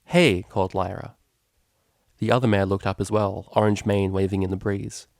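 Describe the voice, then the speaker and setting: A man's voice: low voice, flat delivery, moderate pace, vocal fry, darkish tone, no room sound, studio quality. A man reading a story aloud as narrator, in scripted prose. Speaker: narrator, fan fiction reader.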